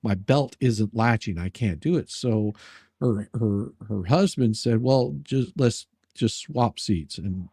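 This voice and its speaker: A man with a dark, low-leaning voice tells stories in casual conversation. He speaks haltingly, circling back and repeating words as he rambles along. The sound is dry and close, without room echo. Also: gravelly, breathy edge. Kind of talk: casual chat, telling personal anecdotes.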